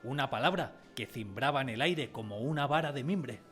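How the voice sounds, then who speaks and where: A man plays a wistful storyteller looking back on a village boyhood in a radio drama. His voice is mid-pitched, and quiet music runs underneath the narration. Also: animated, normal speed, close to an everyday voice. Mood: wistful, storytelling.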